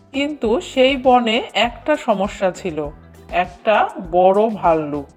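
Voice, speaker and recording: A woman narrating a children's cartoon story in a measured, expressive storytelling manner. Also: on the high side. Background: background music.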